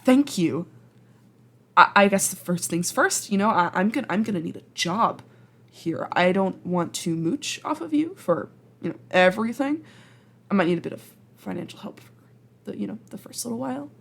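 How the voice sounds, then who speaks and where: A woman's voice: mid-range voice, expressive, moderate pace, gravelly. A woman playing a flustered, apologetic time traveler from the future in an ASMR roleplay, speaking hesitantly, stammering and rambling in a self-deprecating way. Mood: sheepish, awkward. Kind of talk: ASMR roleplay acting, intimate and conversational.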